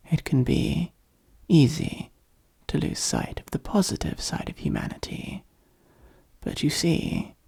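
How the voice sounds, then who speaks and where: A performer voicing a possessive but softly devoted demon ruler of hell in an ASMR roleplay, speaking formally and intimately in a breathy, husky, often whispered voice. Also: mid-range voice, full character voice. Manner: slow, formal, deliberate, intimate.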